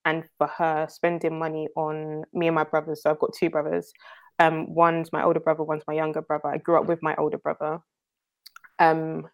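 A young London woman with a notably low voice, speaking as an interview guest. She talks in a relaxed, chatty way and laughs readily. Her speech is loose and filled with "like" and "um.". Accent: London English. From North London, England. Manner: chatty, laughs often, leans on "like" and "um". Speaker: guest entrepreneur. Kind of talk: casual interview talk.

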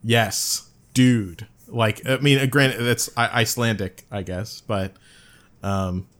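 A man's voice: fairly low pitch, steady tone, slow, a bit bright. A man hosting a podcast, chatting casually, rambling with lots of fillers and laughing often. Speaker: podcast host.

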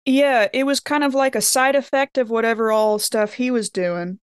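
A woman playing an anxious, blunt investigator in a tabletop game, talking in halting, informal slang. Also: fairly high pitch, fairly even intonation, clear, resonant tone, no echo, studio quality, lightly acted. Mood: explanatory. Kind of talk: improvised in-character tabletop dialogue.

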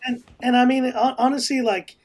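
A man's voice: very high-pitched, level tone, normal speed, darkish tone. A man with an American accent, a podcast guest talking casually and rambling with many restarts. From raised in Hong Kong, family from El Salvador. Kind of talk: casual conversation.

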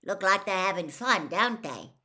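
Field: audiobook character. Sex: woman voicing a big gentle man. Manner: loud, folksy, homely dialect. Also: lowish voice, big pitch swings, measured pace, exaggerated voice. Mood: worried. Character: huge, gentle, rough-spoken man, kindly groundskeeper.

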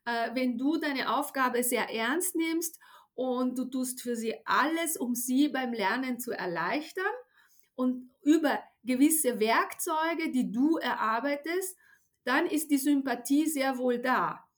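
High-pitched Italian-born woman explaining emphatically. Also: slow pace. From Italy. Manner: emphatic, didactic, often adds tag "ja". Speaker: neuroscientist and language teacher, expert guest. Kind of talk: explanatory expert interview.

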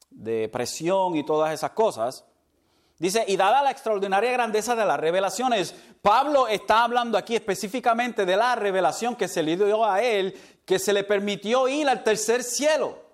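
Male pastor, lively sermon delivery, mixes in English, laughs off his own slips. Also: high-pitched. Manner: animated preaching, mixes in English words; stumbles over words and laughs at himself.

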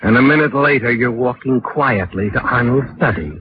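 Sardonic actor as old-time radio mystery narrator. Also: male voice, mid-range voice, moderate pace, noisy background, lightly acted. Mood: knowing, suspenseful. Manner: sardonic, addresses the character as "you". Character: sardonic, ominous all-knowing male narrator of crime tales. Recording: muffled vintage radio recording with music.

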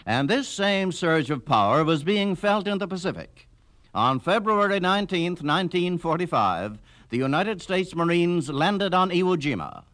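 A man's voice: high-pitched, very animated, normal speed, a bit bright. A man narrating a scripted historical radio documentary in a formal, declarative way.

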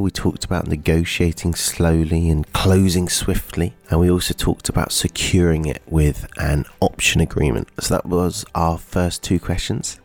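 Man, very low voice, fry-heavy, nasal from a cold, steady explaining manner. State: congested with a cold. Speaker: podcast host. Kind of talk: solo podcast talk.

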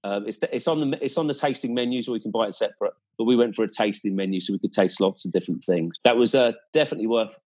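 A man's voice: fairly low pitch, fast, hardly any pauses, a little mellow. A man in a casual, conversational chat, recounting his experiences as a guest in an enthusiastic, descriptive and emphatic way.

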